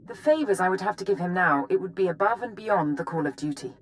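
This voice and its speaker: Low-pitched, expressive female captain played by an actress. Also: fast, slight background noise, natural voice, low-quality recording. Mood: reluctant, disgusted. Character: ambitious female military captain, proud, uneasy, resolute. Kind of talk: video game character dialogue.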